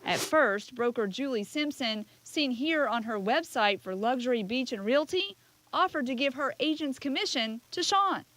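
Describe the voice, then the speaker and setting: A woman's voice: high-pitched, animated, moderate pace, a bit bright, no echo. An American woman narrating a scripted television news report as a reporter.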